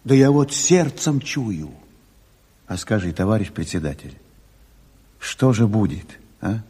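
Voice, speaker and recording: An actor playing an earthy, worried requisition agent in a radio drama, colloquial and repeating words for emphasis, with a husky voice. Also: male voice, fairly low pitch, big pitch swings, a little slow, mellow, exaggerated voice. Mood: anxious, conspiratorial. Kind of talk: theatrical Soviet radio drama acting. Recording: reverberant hall, stage recording.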